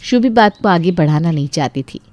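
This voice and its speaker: A woman's voice: lowish voice, animated, fairly quick, a little mellow, no room sound. Woman narrating a story, close dry recording. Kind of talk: scripted Hindi bedtime story narration.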